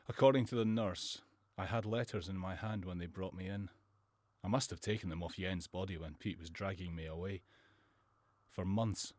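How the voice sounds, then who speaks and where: A man's voice: deep voice, dry recording, close to an everyday voice. A man playing a wounded, weary British First World War soldier in a radio drama, subdued and plain-spoken. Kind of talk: student radio drama acting and reflective narration.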